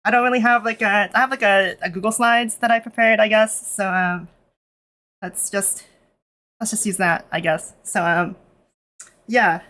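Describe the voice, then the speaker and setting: Nervous Canadian woman, casual streamer, heavy on fillers, mid-range voice, halting in Japanese. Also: mid-range voice, steady tone, a bit bright. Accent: Canadian English. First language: English. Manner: nervous, lots of fillers, switches between English and halting Japanese. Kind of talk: casual live stream chat.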